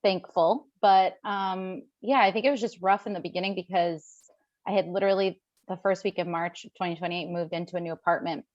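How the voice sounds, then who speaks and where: A woman's voice: lowish voice, fairly even intonation, brisk, dry recording. Guest, woman, early 30s, upbeat; muffled dry remote recording. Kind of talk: casual chat. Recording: dull lo-fi remote audio.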